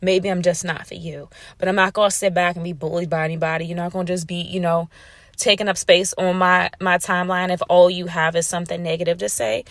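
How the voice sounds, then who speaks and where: A woman's voice: on the low side, level tone, a little fast, no echo. Assertive woman with a dry close sound. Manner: assertive, emphatic, repeats "right?" and "you know". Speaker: vlogger. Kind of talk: casual monologue to camera.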